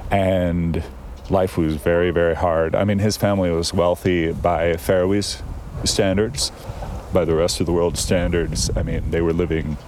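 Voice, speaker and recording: Low-voiced man telling stories, unhurried. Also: little pitch movement, a little mellow, slight background noise. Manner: thoughtful, digressive storyteller with frequent fillers. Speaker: guest. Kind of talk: casual conversation. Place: outdoors in a town.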